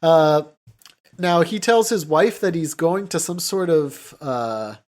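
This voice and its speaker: A man's voice: on the high side, expressive, slow pace. A man hosting a podcast, recapping a film in a casual, rambling way with loose mimicked dialogue. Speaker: podcast host.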